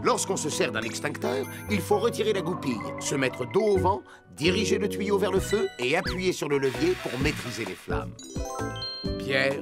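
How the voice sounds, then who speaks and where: A man voicing a calm, instructive fire truck rescue robot in a children's cartoon, explaining things patiently. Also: a little high. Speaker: safety instructor character.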